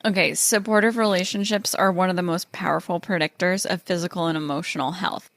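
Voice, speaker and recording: Chatty woman podcast host, low voice. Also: steady tone, brisk, fairly bright tone, no echo. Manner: chatty, laughs easily, explains research. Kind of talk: casual podcast chat.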